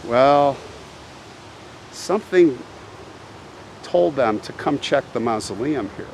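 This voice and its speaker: A man hosts an on-location history video with dramatic, suspenseful storytelling. He takes his time, speaking slowly at a medium pitch and repeating phrases for effect. He is recorded outdoors, with faint ambient noise behind him. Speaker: host of a cemetery history video channel. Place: outdoors, walking in a cemetery.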